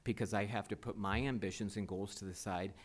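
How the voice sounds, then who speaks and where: Earnest low-voiced man answering a board. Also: fairly even intonation, fairly quick. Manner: earnest, rambling with fillers and restarts. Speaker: superintendent candidate. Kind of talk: answering interview questions. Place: school board meeting room.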